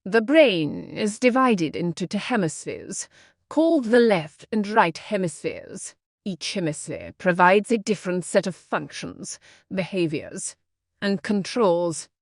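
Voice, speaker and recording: A woman reading scripted practice texts aloud in a measured, instructional way. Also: mid-range voice, sing-song, no echo, studio quality. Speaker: narrator of read-aloud exam practice texts. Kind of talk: scripted read-aloud narration.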